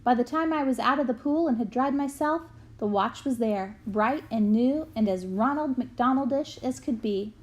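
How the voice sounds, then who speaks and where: A woman reading a children's memoir aloud in an expressive storytelling manner. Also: fairly high pitch, normal speed, hardly any pauses. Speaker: book reader.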